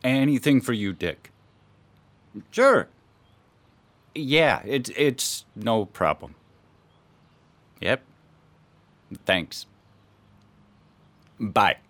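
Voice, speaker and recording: A man acts a middle-aged academic husband in a radio drama, touchy and defensive. His mid-pitched voice swings widely in intonation. The sound is dry, with little room around it. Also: sing-song, extremely slow, long pauses, fairly bright tone, no echo, full character voice. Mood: falsely agreeable.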